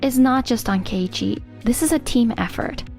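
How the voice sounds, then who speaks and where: A woman plays a sweet young fiancée from a manga dub, pitched quite high. She is earnest and loyal, gentle yet firm. Soft music runs behind her. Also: expressive, normal speed, creaky. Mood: firm, indignant. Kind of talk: animated manga dub dialogue.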